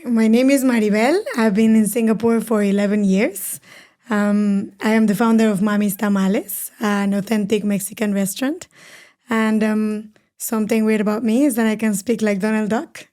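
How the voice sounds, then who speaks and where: Woman restaurant owner, mellow voice, friendly and short, little ums. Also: mid-range voice, level tone, moderate pace. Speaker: restaurant founder, guest. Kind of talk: casual interview chat.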